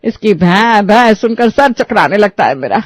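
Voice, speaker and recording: A woman's voice: medium pitch, animated, moderate pace, dry recording, natural voice. A woman voicing an indignant elderly mother-in-law in a cartoon story, scolding sharply and dramatically. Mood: fed up.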